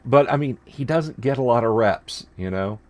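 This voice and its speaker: A man's voice: medium pitch, lively intonation, moderate pace, a little mellow, no echo. A man hosting a casual sports podcast, chatty and tossing questions to his co-hosts with many fillers. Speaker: podcast host.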